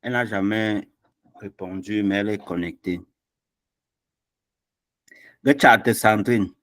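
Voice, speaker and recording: A man's voice: lowish voice, steady tone, unhurried, a bit bright, dry recording. A man closing an online language class on a video call, speaking hesitantly with many fillers.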